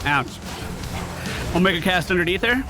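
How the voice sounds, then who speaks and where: A man giving casual gameplay commentary in an excitable way, given to swearing when thrilled. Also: high-pitched, moderate pace. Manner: excitable, profane exclamations. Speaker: gaming video host. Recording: game music behind voice. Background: video game music.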